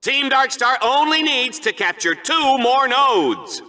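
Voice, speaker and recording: An actor playing a bombastic, hammy, gleefully bloodthirsty sports commentator in a video game. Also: male voice, very high voice, animated, slow pace, sharp tone, heavily acted. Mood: announcing. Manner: bombastic showman delivery. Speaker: arena show commentator.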